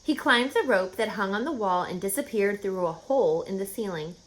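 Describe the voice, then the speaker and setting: A woman reading a children's story aloud as narrator, in an expressive storytelling style. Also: medium pitch, a little fast, poor audio. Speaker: audiobook reader.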